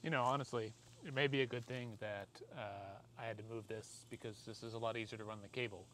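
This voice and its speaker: A man's voice: fairly low pitch, steady tone, quick pace, fairly bright tone. American man vlogging casually over background noise. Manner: self-deprecating, rambling, conversational. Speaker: vlogger doing a product installation. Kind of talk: casual hands-on how-to vlog. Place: outdoors in a driveway and inside a parked car. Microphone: camera or phone mic, arm's length to a few feet. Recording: noisy outdoor and in-car camera audio. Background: clear ambient noise.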